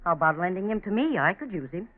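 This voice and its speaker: A muffled, band-limited 1940s radio recording of a tough farm aunt. She is brisk, blunt and stubborn, talking business with no nonsense in a period drama style. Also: lowish voice, lively intonation. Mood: brisk, firm.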